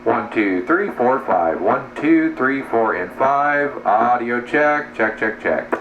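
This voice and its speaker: A man's voice: mid-range voice, lively intonation, takes it slowly. A man heard through a CB radio speaker, making test calls and counting for an audio check. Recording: dull, echoey radio audio with faint noise.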